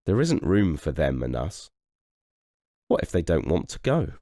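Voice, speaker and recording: A man's voice: extremely low pitch, sing-song, unhurried, dark tone, no room sound, studio quality, exaggerated voice. A man voicing an angry, grumbling, bossy elephant in a children's storybook.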